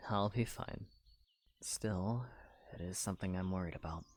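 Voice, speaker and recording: A man playing a calm, modest young knight in an audio drama, understated and reassuring, over music. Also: low-pitched, normal speed. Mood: pensive.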